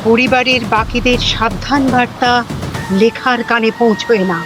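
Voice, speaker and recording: A woman's voice: fairly high pitch, fairly even intonation, normal speed. A woman narrating a scripted story dramatically. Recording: music under the voice.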